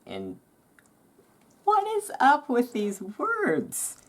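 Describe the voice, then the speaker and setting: A host doing a relaxed ASMR-style reading aloud, breaking off into informal, musing asides. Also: mid-range voice, drawn-out words. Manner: informal, chatty, musing asides between read passages.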